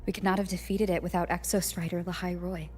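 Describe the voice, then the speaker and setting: An actress voicing a young, gentle, earnest wandering hero in a video game, speaking in a measured, reflective way like a narrated letter. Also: female voice, fairly low pitch, little pitch movement, slight background noise, close to an everyday voice. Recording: echoey, long reverb, music behind.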